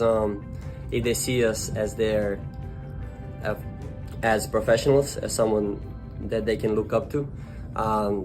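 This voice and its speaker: A young soccer player from Serbia, speaking haltingly and searching for his words. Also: on the low side. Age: young adult.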